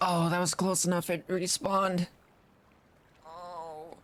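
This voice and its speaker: A man's voice: high-pitched, level tone, slow, a bit bright, slight background noise. A man giving casual gameplay commentary as a streamer, thinking aloud and muttering to himself. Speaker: game streamer.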